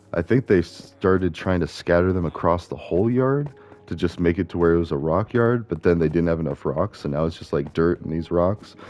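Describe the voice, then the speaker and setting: A man with a gravelly voice, chatting casually and rambling as he plays a video game, often correcting himself. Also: low voice, dark tone. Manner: chatty, meandering, self-correcting. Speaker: gaming video host. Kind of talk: casual rambling commentary while playing.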